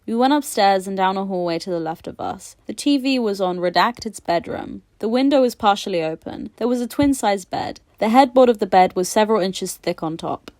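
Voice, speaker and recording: A woman reading a formal report aloud in a measured, matter-of-fact way. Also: mid-range voice, expressive, a little mellow, dry recording, clean studio sound. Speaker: reader of a report.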